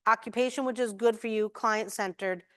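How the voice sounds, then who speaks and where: A woman's voice: fairly high pitch, steady tone, moderate pace, fairly bright tone, no room sound, studio quality. A middle-aged American woman giving a conference talk in a conversational, emphatic way, telling anecdotes and acting out the dialogue. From New England, USA. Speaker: keynote speaker, occupational therapist.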